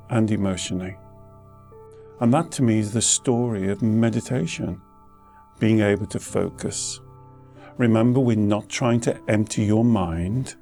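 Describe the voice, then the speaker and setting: A man speaking as a meditation teacher, giving gentle guided instruction in an unhurried, reassuring manner with pauses between short phrases. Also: lowish voice, creaky. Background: soft instrumental music.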